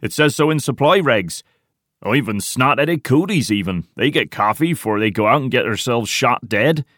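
Man putting on a rough, grumbling army private. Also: on the low side, big pitch swings, measured pace, no room sound, clean studio sound. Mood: aggrieved. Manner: coarse, aggrieved, loud. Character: rough, grumbling, bloodthirsty male soldier.

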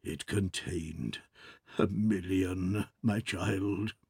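A man's voice: on the high side, big pitch swings, unhurried, creaky, husky, no room sound, studio quality, pitched above the performer's own voice, heavily acted. A man reading aloud, voicing a panicked middle-aged French father who stammers in alarm. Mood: stunned, hushed. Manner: stammering, frantic.

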